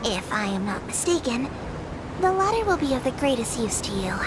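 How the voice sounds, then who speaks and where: A woman's voice: high-pitched, sing-song, brisk, noisy background. A woman voicing a small, polite creature tour guide in a video game, speaking formally and precisely with dry matter-of-fact asides. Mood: polite, composed. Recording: loud music under the voice. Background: music.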